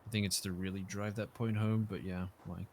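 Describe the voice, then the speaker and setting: A man with a lowish voice speaks in character in a roleplay game. He hedges constantly, fills gaps with "uh" and lets his sentences fade. Faint noise hums behind him. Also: flat delivery, brisk. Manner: hesitant, many fillers, soft trailing off. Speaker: roleplay player.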